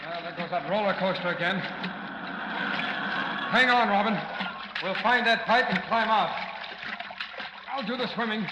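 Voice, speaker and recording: An actor playing a steady, protective costumed crime-fighter in a 1940s radio serial, reassuring and commanding. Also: male voice, high-pitched, normal speed, pauses often, lightly acted. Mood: thinking, determined. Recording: echoey, muffled, noisy old radio recording.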